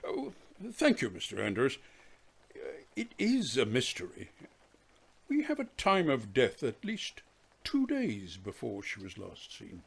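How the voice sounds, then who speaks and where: A man's voice: medium pitch, huge pitch swings, unhurried, gravelly, breathy edge, exaggerated voice. A man playing a hesitant, formal police detective in a radio play. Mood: questioning, puzzled. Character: hesitant, formal male police detective bearing bad news.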